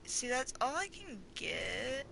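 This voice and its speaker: A woman's voice: high voice, very animated, slow, creaky, background noise. A woman doing casual video game commentary, thinking aloud in an informal way as she plays. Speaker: gaming streamer. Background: video game music.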